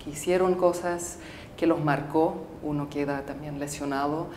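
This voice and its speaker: Low-voiced woman filmmaker in a roomy studio. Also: fairly even intonation, a little mellow, a little hiss or hum. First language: English. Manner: thoughtful, halting, with frequent fillers and restarts. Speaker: filmmaker interviewee. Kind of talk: casual interview answers.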